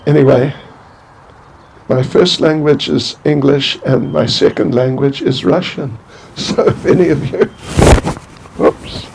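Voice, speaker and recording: Slow, gravelly older man giving a talk. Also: a little high, a little mellow, a little hiss or hum, rough recording. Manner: halting, rambling, with many restarts; chuckles to himself. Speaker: spiritual teacher. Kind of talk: informal spiritual talk.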